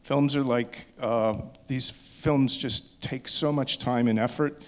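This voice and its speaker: A man, a filmmaker speaking informally and haltingly to an audience at a screening, often restarting his words. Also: medium pitch, fairly even intonation. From Canada. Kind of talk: informal unscripted speech to an audience.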